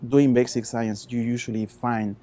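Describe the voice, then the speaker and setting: A man in his thirties with a Chilean Spanish accent, a researcher talking informally about his work in halting non-native English. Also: mid-range voice, level tone, dark tone. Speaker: postdoctoral researcher.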